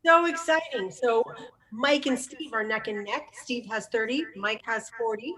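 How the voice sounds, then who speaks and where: A woman's voice: fairly high pitch, measured pace, fairly bright tone, rough recording. A woman acting as an unseen scorekeeper, reading out standings informally.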